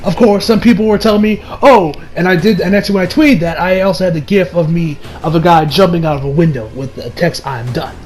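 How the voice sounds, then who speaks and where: A man giving a casual, rambling review in an informal, excitable, sweary way. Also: sits high, quick pace, hardly any pauses. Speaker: YouTube movie reviewer.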